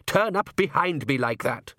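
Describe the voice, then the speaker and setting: A man's voice: fairly high pitch, expressive, normal speed, pushed and bright, no room sound, clean studio sound, voice pushed up. A man voicing a haughty, scheming middle-aged vampire count in a storybook, urbane and patronising. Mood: dry, irritated. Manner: theatrical, urbane.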